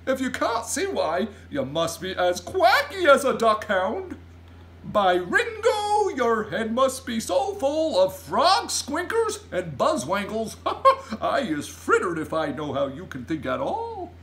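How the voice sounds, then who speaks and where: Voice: high voice, sing-song, takes it slowly, hardly any pauses, a bit bright, voice pushed up, full character voice. Boisterous, wildly swooping friendly giant. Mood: scandalised, amused. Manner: exclaims in childlike broken grammar and mangled words. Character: big friendly giant, male, boisterous, excitable, jolly. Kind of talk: children's storybook read aloud.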